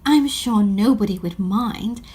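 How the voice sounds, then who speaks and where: A woman's voice: medium pitch, measured pace, a little mellow, slightly rough. A woman voicing a practical, sensible schoolgirl from a children's storybook, with proper old-fashioned phrasing.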